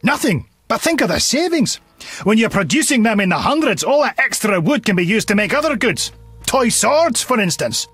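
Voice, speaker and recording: A bitter dwarf townsman from a fantasy game, husky and pitched rather high. He grumbles sarcastically with dark humour, and his pitch swings up and down in a lively way. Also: moderate pace, faint music behind, exaggerated voice. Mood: bitterly sarcastic. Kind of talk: video game dialogue acting.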